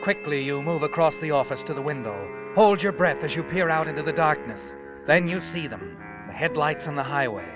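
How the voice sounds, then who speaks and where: A man's voice: on the high side, fairly quick, natural voice. A man acting as the sly, taunting narrator of an old-time radio thriller, speaking insinuatingly to a guilty schemer. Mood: sly, ominous. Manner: sly, insinuating, addressing the character directly. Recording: muffled vintage radio recording, music behind.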